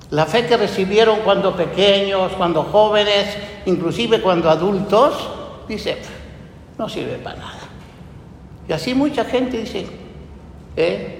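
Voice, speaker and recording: Slow-preaching priest in an echoey church. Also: male voice. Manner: slow, earnest preaching with rhetorical questions. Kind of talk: homily after a Gospel reading. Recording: echoey church hall with low rumble.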